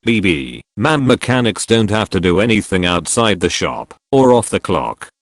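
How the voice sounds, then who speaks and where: A man's voice: lowish voice, very animated, normal speed, no echo, clean studio sound. A man reading the lines of an auto shop boss on the phone, patient and matter-of-fact.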